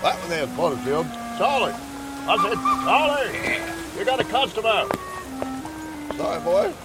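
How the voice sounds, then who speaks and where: Western audio drama: testy depot hand, high, wildly swinging holler, loud music behind. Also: male voice, sing-song, measured pace. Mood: impatient. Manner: brusque, hollering. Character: irritable loud male frontier stagecoach clerk.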